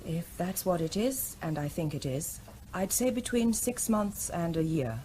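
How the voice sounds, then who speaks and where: An actress playing a precise, confident doctor explaining findings in a radio drama. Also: female voice, fairly low pitch, animated, measured pace, dark tone, a little hiss or hum. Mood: clinical. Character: clinical female doctor, a pathologist.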